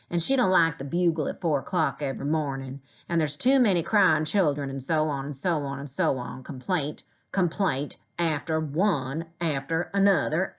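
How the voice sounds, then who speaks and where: A woman's voice: low-pitched, muffled recording. A woman reading a children's diary novel aloud as a teacher would, in storybook narration.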